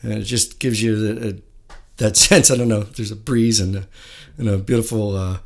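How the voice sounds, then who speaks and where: Rambling male host, low voice. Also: steady tone, normal speed, vocal fry. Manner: friendly, rambling, with many uhs. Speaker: show host. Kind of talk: casual interview chat.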